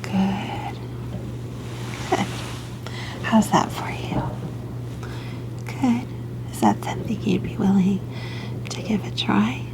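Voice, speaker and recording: A woman performs an ASMR doctor roleplay in a soft, breathy whisper. She takes her time, speaking slowly and gently. Her manner is soothing and reassuring, like a clinician guiding a patient. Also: mid-range voice, low-quality recording.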